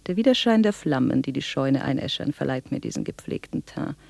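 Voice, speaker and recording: A man's voice: medium pitch, animated, fairly quick, gravelly, no room sound. An actor playing a sly, falsely polite male visitor in a German radio drama, chatty, rambling and correcting himself with mock courtesy. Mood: mock-polite, needling.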